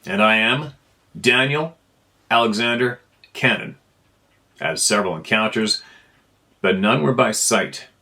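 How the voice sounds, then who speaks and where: Low-pitched man reading a story aloud. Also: a little slow, gravelly, long pauses, pushed and bright. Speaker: narrator reading listener emails. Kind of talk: informal read-aloud of a first-person story.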